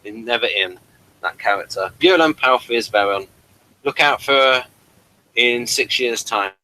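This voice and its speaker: Male review host, bright forward voice, reads guide entries aloud with chatty asides. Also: medium pitch, unhurried, poor audio. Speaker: host of a TV episode review show. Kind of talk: reading aloud from an episode guide with off-the-cuff asides.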